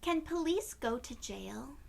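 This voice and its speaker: A woman's voice: high voice, lively intonation, slow pace, vocal fry, a little mellow, exaggerated voice. A woman voicing a puzzled young boy in a children's storybook, asking direct questions. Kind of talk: children's storybook read-aloud.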